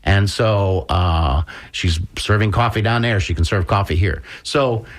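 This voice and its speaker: A man with a deep voice co-hosts a conversational radio show from a studio. He muses aloud and gently prompts his guest. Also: measured pace. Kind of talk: conversational interviewing.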